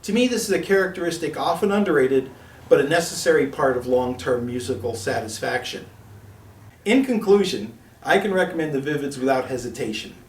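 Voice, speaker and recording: Echoey, higher-pitched man reading a review. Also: lively intonation, brisk. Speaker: product reviewer and presenter. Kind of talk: scripted review narration. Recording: echoey room, distant mic, music behind.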